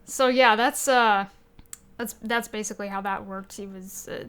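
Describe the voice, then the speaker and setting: A woman hosting a podcast, chatting informally and haltingly, often correcting herself as she goes. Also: medium pitch, fairly even intonation, fairly bright tone, clear, resonant tone. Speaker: podcast host. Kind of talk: casual chat about games and movies.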